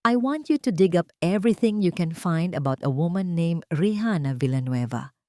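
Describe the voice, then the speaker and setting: A woman's voice: fairly low pitch, animated, moderate pace, mellow, no room sound, studio quality, natural voice. A woman voicing a scheming rich young woman in an audio romance drama, commanding and sweetly menacing. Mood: cold, commanding.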